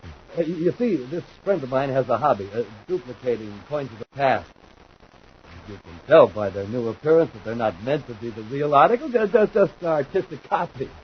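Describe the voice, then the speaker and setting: An actor playing a sly, scheming criminal in a 1950s radio space adventure. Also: male voice, mid-range voice, very animated, brisk, lightly acted. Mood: nervous, evasive. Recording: muffled, noisy 1950s radio recording.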